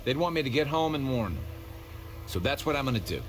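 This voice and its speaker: An actor playing a steady, loyal and resolute survivor scout in a dramatic video game. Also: male voice, medium pitch, fairly quick, a little hiss or hum, close to an everyday voice. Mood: resolute warmth.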